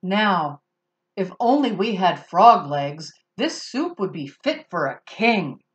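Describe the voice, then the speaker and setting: A woman's voice: lowish voice, big pitch swings, takes it slowly, dull audio. A woman voicing a sly, grinning male stranger who cooks, raised and coaxing in a storybook reading. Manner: coaxing, sing-song.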